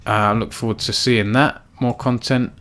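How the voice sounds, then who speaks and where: A man hosting a casual weekly esports news roundup, speaking in a flat, self-described monotone and peppering his talk with "um" and "uh". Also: medium pitch, moderate pace. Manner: self-described monotone, filler-heavy delivery. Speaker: esports news editor and host.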